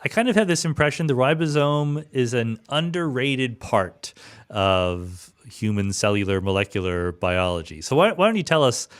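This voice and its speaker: A man's voice: medium pitch, big pitch swings, normal speed. A man hosting a podcast in a conversational, informal way. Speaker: podcast host.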